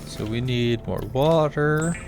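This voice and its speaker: A man's voice: medium pitch, slow pace, darkish tone. A man with a slightly scratchy voice giving casual gameplay commentary, rambling and muttering to himself as he thinks aloud. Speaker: small gaming streamer. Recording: music behind the voice. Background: game music.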